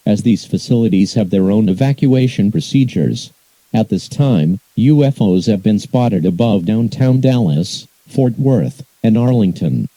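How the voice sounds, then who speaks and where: A man reading a scripted emergency broadcast announcement in a flat, formal, measured, synthetic-sounding manner. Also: lowish voice, no echo, rough recording.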